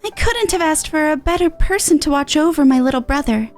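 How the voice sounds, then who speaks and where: Gracious, high-voiced older sister acted by a woman. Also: animated, brisk. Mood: grateful. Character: gracious, caring older sister in a fantasy game. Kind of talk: reading game dialogue. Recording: music in the background.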